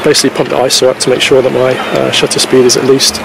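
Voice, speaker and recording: A man in his forties talking casually to camera as a wildlife photography vlogger, explaining things in a halting way on an outdoor camera microphone. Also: mid-range voice, completely flat delivery, creaky, heavy background noise. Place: outdoors on a grassy coastal clifftop. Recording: poor camera-mic sound outdoors, music behind.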